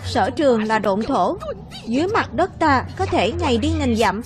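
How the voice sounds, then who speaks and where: A woman's voice: fairly high pitch, sing-song, normal speed. A woman voicing brash, bickering young heroes in a fairy-tale cartoon dub for children. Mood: boastful. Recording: music behind the voice.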